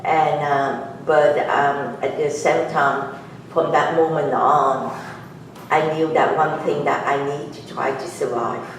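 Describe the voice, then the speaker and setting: A woman in her sixties with a Vietnamese accent speaks earnestly and haltingly, often pausing and restarting. She is picked up from across a very echoey meeting room by a distant mic. A faint hiss of noise sits underneath. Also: deep voice, fairly even intonation. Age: 60s. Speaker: guest speaker and author. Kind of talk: personal testimony talk to a club audience.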